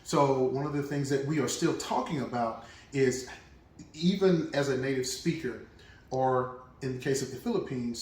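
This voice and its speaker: A man's voice: medium pitch, reverberant, far from the mic. A man hosting a reaction video, talking casually and thinking aloud with restarts.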